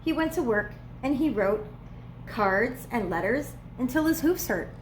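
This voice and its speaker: A woman reading a children's storybook aloud as a preschool teacher would, with expressive, playful emphasis. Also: on the high side, measured pace, slight background noise. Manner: dramatic, playful emphasis on repeated words.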